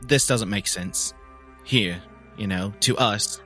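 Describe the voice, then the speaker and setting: A man playing a tabletop game, talking hesitantly and thinking aloud in halting phrases. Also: lowish voice, bright, forceful tone, soft background music. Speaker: player. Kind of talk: casual tabletop roleplay.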